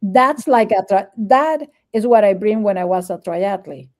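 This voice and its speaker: A woman's voice: mid-range voice, lively intonation, normal speed, a little mellow. A middle-aged woman from Venezuela with a Venezuelan Spanish accent, a native Spanish speaker, chatting earnestly as an interview guest and repeating her key points. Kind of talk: casual interview chat.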